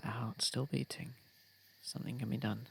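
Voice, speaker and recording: Casting: male actor as a courteous, protective vampire for ASMR drama; whispery, formal, heavy vocal fry, noisy ambient backdrop. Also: medium pitch, level tone, moderate pace. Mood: concerned. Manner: soft-spoken, often whispering, formal wording. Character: posh, cozy, gentle male vampire, protective rescuer. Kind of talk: ASMR roleplay audio drama.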